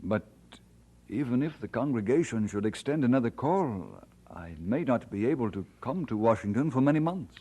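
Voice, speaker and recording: An actor playing an earnest, devoted minister and husband in an old-time radio drama, speaking in a measured, sincere way. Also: male voice, mid-range voice, lively intonation, mellow, husky. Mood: earnest, deliberate.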